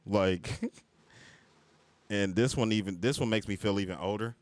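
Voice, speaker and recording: Low-voiced man hosting a laid-back chat. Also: a little slow, a little mellow, no room sound. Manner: laid-back, laughs often, slangy storytelling. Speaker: podcast host. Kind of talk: casual chat.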